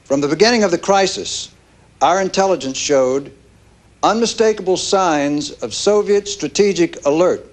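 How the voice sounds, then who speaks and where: A man acting as a government official speaks in a somewhat high voice. He is stern and formal, stressing his points as if defending a hard decision. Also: moderate pace, lightly acted. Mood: stern, insistent. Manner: formal, emphatic repetition. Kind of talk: official statement.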